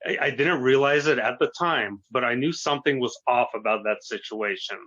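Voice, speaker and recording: Bright, forceful man in his thirties, muffled recording. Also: medium pitch, a little fast. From born in Russia, grew up in the United States. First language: Russian. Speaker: interview guest. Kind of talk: conversational personal account. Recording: dull, lo-fi voice recording.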